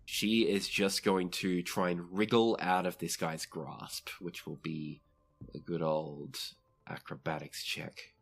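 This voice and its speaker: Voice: low voice, flat delivery, slow, gravelly. A game master narrating a tabletop role-playing game, describing the action in vivid, dramatic detail.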